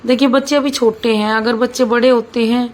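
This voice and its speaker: A woman in her thirties with a hoarse, sore voice, chatting casually through a vlog as she cooks. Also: fairly high pitch, fairly even intonation, brisk, a little mellow. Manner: chatty, keeps saying "bhai", talks while cooking. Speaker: vlogger.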